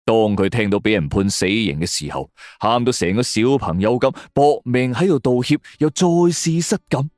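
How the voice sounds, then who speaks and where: A man's voice: mid-range voice, lively intonation, normal speed, hardly any pauses, a little mellow, dry recording, clean studio sound. A man narrates a suspense story in the first person, dramatically.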